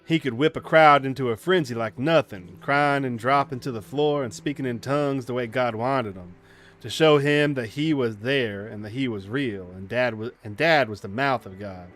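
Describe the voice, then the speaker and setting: A man voicing a haunted country boy recounting his childhood, reading visual novel narration plainly and a little haltingly. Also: mid-range voice, a bit bright, faint music behind, close to an everyday voice. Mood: somber, reflective.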